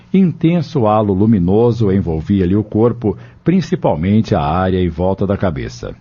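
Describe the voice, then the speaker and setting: A man's voice: lowish voice, dark tone, husky. A man narrating an audio novel from a script. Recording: dull lo-fi narration recording.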